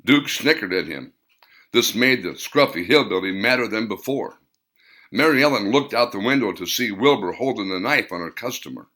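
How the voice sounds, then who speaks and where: Deliberate male audiobook narrator, bright tone. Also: fairly low pitch, lively intonation. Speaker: author reading his own novel.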